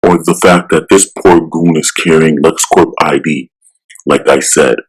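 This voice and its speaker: A man voicing a stern, steadfast superhero with a firm, measured delivery in a comic-book drama. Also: very deep voice, fairly even intonation, husky, exaggerated voice, poor audio. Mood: scornful. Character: stern, righteous male superhero, steadfast and unafraid.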